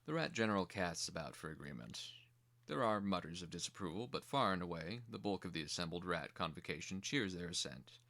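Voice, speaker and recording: A man's voice: low voice, lively intonation, a little fast, a bit bright. A man giving scripted dramatic narration as the narrator of a fantasy screenplay reading.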